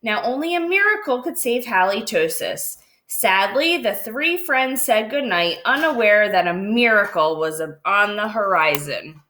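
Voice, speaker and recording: Animated woman reading a children's storybook. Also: medium pitch, a little hiss or hum. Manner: animated, drawn-out dramatic phrasing. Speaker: read-aloud storyteller. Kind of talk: expressive children's picture book read-aloud.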